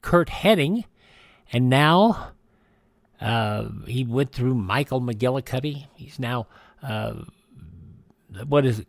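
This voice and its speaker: A man's voice: mid-range voice, no echo, clean studio sound. A man hosting a radio program in a casual, chatty style, rambling a little and correcting himself as he goes. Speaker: radio show host. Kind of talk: casual radio host chat reading celebrity birthdays and introducing old radio shows.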